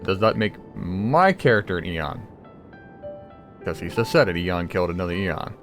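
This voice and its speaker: A tired man commentates casually on a video game in a mid-pitched voice with lively movement. He muses aloud and swears freely. Game music is clearly audible behind him. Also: moderate pace. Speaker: gamer commenting on playthrough.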